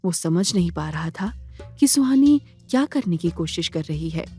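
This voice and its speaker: A woman narrator with a lowish, mellow voice tells a dramatic audio story. Her delivery is measured and scripted, with soft music underneath. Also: expressive, husky.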